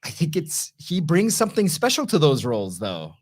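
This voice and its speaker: Animated man co-hosting a casual review show. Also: on the high side. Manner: enthusiastic, emphatic, quick to banter. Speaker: co-host of an entertainment review show. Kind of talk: casual, enthusiastic film review chat.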